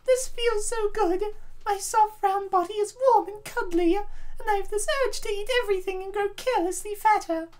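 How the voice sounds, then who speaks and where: A woman's voice: extremely high pitch, steady tone, moderate pace, a little mellow. A woman voicing an anxious, self-doubting motherly space princess in a comic dub, speaking with earnest, troubled hesitation. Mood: troubled confiding.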